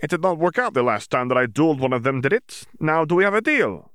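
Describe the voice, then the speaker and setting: A cornered dark wizard bargains his way out, his voice pitched up high and pushed hard with defiance, as a man acts him out. He sounds sharp and mocking, and the reading is dry and close. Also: animated, fast, smooth, dry recording. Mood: taunting.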